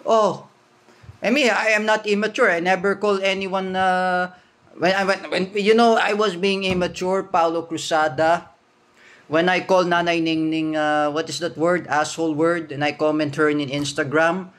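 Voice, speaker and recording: A young man with a Filipino accent and a high-pitched voice rants straight to the camera. He is angry and accusing, repeats himself, and stumbles and restarts in halting English. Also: flat delivery, fairly bright tone. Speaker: vlogger.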